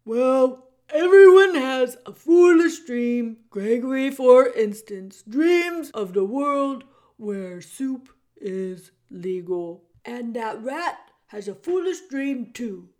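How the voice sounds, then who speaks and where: A woman doing a booming old jailer man. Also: lively intonation. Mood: grandly. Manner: loud, drawn-out, booming pronouncements. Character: old male jailer, odd, talks of himself in third person.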